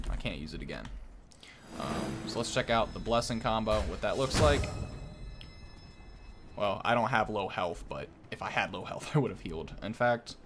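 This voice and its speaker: Male gaming host, lowish pitch, informal unscripted commentary. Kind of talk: casual gameplay commentary.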